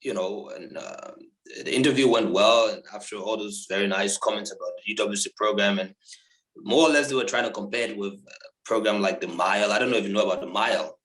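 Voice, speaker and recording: A man's voice: fairly low pitch, a little fast, a bit bright, reverberant, poor audio. A young man from Liberia being interviewed over a video call, talking casually with many fillers and repeated words. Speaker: interviewee, law graduate.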